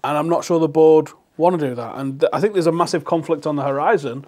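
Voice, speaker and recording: Man, fast talker, fairly high voice, opinionated, casual. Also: dark tone. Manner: quick, opinionated. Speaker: panelist, football fan. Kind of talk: casual chat.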